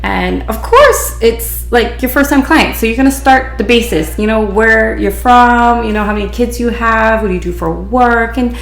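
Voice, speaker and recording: A woman's voice: on the high side, normal speed, vocal fry, few pauses, reverberant. A woman from Hawaii with a Pidgin-influenced accent, hosting a podcast and telling a story in a chatty, rambling way full of restarts and "you know". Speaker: podcast host, beautician. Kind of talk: casual storytelling.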